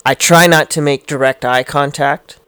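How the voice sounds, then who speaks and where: A man in his thirties in casual podcast chat, earnest and reflective and quick to laugh. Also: fairly high pitch, steady tone, a bit bright, clean tone, no room sound.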